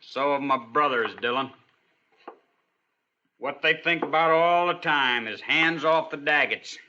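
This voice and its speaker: A man's voice: fairly high pitch, measured pace, sharp tone, lo-fi sound, a little hiss or hum. An actor playing a defiant young male outlaw prisoner, surly and taunting, in an old-time radio western. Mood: defiant, threatening.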